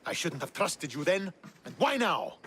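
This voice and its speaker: Game cutscene: a resentful army commander, sneering, swinging pitch, faint ambience. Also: male voice, big pitch swings, normal speed, a bit bright, slight background noise. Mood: scornful. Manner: scornful, resentful. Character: bitter, hostile male Spartan commander. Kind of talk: video game cutscene acting.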